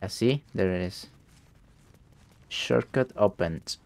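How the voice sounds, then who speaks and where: A young man who is sick, his voice deeper than usual, commentating a game and reading notes aloud haltingly, with English not his first language. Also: creaky, darkish tone. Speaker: gameplay streamer. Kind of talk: let's play commentary.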